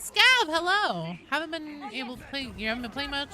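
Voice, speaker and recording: A woman's voice: sits high, quick pace, bright, forceful tone. A woman livestreaming a video game, chatting casually and animatedly with viewers, swearing freely and greeting people as they come. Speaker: game streamer and host. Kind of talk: casual livestream chat over gameplay. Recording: clear background game noise. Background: video game sounds.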